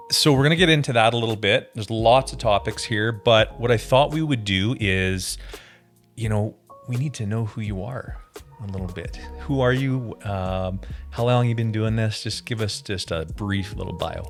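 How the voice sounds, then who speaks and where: A man's voice: on the low side, moderate pace, soft background music. A man hosting a conversational interview, friendly and rambling with fillers. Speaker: interview host.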